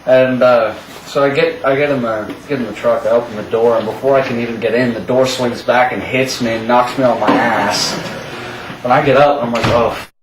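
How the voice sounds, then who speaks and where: A man's voice: medium pitch, fairly even intonation, a little fast. A young adult man telling rambling, jokey stories casually among friends. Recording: echoey, noisy room, far from mic.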